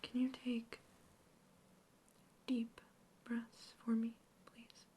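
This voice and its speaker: A woman whispering gently through an ASMR roleplay, asking calm questions as an examiner. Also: on the high side, very slow, slight background noise. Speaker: ASMR artist playing an examiner.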